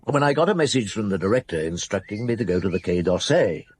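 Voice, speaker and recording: A seasoned detective chief in a radio play. His voice is low and roughened, with widely moving intonation. He speaks in a wry, understated, musing way. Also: expressive, quick pace, dry recording. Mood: reminiscing. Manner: musing, understated, with hums and short replies. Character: seasoned male Paris police chief inspector, wry and unhurried. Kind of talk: naturalistic radio drama acting.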